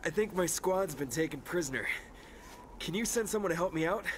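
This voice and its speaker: A man's voice: on the high side, animated, brisk, noisy background, lightly acted. A man playing an earnest, anxious young army private in a video game. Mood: relieved, pleading.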